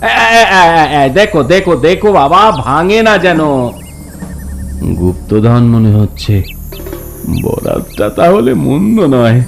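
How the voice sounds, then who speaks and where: A middle-aged village master for a cartoon, fairly high and very animated. He coaxes and orders in turn, repeating himself eagerly. Music plays behind him. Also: takes it slowly, vocal fry, heavily acted. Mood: excited, anxious. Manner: excitable, repeats phrases, coaxing and ordering. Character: eager, bossy Bengali village husband and master. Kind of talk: cartoon voice acting.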